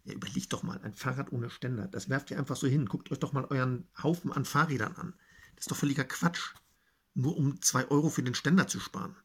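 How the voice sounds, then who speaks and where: A man's voice: mid-range voice, fairly even intonation, brisk, a bit bright, slightly rough. A man playing a dismissive judge in an amateur comedy sketch, lecturing the founders. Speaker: judge in a mock pitch show.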